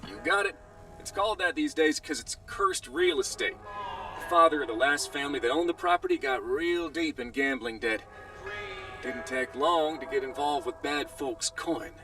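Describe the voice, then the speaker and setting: A man's voice: on the high side, normal speed, creaky, heavily acted. A man playing a genial frontier lawman in a western video game, with a folksy, encouraging manner, over music. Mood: businesslike. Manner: animated, encouraging, colloquial. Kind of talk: video game cutscene acting. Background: background music.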